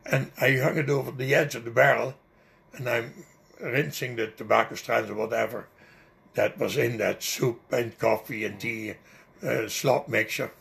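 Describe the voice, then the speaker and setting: An older man with a heavily gravelly, creaky voice tells a long memory in a relaxed, rambling way, full of small fillers. He speaks English as a second language. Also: medium pitch, moderate pace. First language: not English. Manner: rambling, vivid recollection with fillers. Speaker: interviewee. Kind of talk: oral history storytelling.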